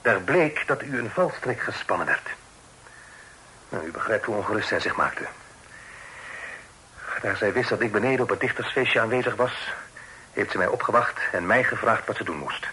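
Over faint hiss from an old radio recording, a courteous young gentleman. His tone is bright and pressing, his phrasing formal and earnest, as if carefully accounting for himself. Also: on the low side, lively intonation, moderate pace, pauses often, low-quality recording. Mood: earnest, defensive. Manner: polite, formal, explanatory. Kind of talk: period radio drama acting.

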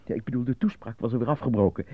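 A man playing an anxious, apolitical stage actor in a Dutch radio drama, with a theatrical manner that turns halting under nerves. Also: medium pitch, no echo, lightly acted. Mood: nervous, subdued. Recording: dull lo-fi radio drama recording.

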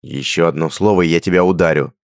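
A man's voice: very deep voice, fairly quick, no room sound, studio quality. A man doing a growling bear-like hunter. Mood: gruff. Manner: curt, growling. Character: gruff, brooding, bear-like male hunter. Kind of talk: audiobook character voice.

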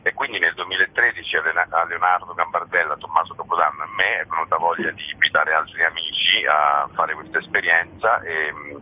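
A man's voice: deep voice, fairly even intonation, a little fast, few pauses, husky, phone-quality sound. A man explaining an event in an informal interview, talking in a discursive, expansive way, as a festival co-director.

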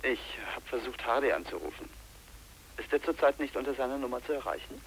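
An actor playing an apologetic, probing man in a radio drama, formal and hesitant, with a husky, muted voice. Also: mid-range voice, lively intonation, fast, slight background noise, natural voice, rough recording. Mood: polite, cautious. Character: apologetic, probing man making an awkward request. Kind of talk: 1980s German radio drama acting.

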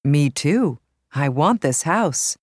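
A woman with an American accent reading scripted language lesson narration in a measured, clearly enunciated way. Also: low voice, lively intonation, dry recording, clean studio sound.

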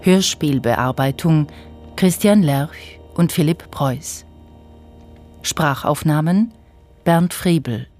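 Woman reading radio play credits, real low and slow, faint music behind. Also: darkish tone. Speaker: announcer. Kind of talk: scripted radio drama credits.

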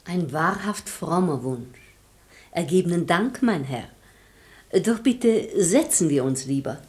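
Mistress of the house for classic verse radio comedy, poised, courteous, lively intonation. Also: female voice, lowish voice, takes it slowly. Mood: polite gratitude. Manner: genteel, politely evasive, theatrical. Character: poised, tactful married lady of the house. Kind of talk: German radio play acting in rhymed verse.

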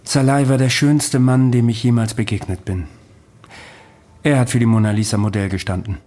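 Ein Schauspieler spielt in einem Hörspiel einen müden, ironischen Patienten, der sich für unsterblich hält, trocken und untertrieben. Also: male voice, mid-range voice, steady tone, moderate pace, warm tone, natural voice. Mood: wistful. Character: weary sardonic male patient who claims immortality. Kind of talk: radio drama dialogue.

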